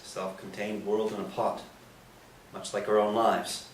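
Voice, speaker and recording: A man's voice: on the low side, flat delivery, measured pace, close to an everyday voice. A man playing a sly priest who teases and provokes, in a live reading of a stage play. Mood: wry. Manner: teasing, provocative. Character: Father Frank, sly, provocative male priest, needling others. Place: echoey indoor stage or hall. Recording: echoey room, distant mic, faint hiss.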